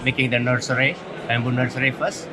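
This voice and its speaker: A man, answering interview questions in a friendly way, with pauses and fillers. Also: mid-range voice, fairly even intonation, over music, low-quality recording. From Indonesia. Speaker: retiree, course participant. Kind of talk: casual interview.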